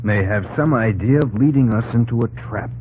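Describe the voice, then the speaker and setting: An actor playing a wary, plain-spoken army lieutenant in an old-time radio drama, understated and hesitant. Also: male voice, medium pitch, normal speed, a little hiss or hum, close to an everyday voice. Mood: suspicious. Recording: tinny old radio recording.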